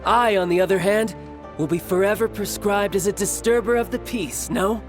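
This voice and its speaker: An actor playing a cocky, hot-tempered youngish warrior villain in a video game, indignant and theatrical. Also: male voice, high-pitched, normal speed, natural voice. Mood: sullen. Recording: prominent background music.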